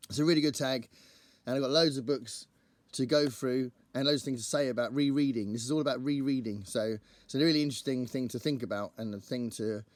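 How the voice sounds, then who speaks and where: Male book vlogger, croaky and strained, medium pitch, dry close sound, chatty and digressive. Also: fairly quick, no room sound. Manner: chatty, rambling, thinks aloud. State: croaky voice after a singing gig. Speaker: book channel host. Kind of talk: casual to-camera book chat.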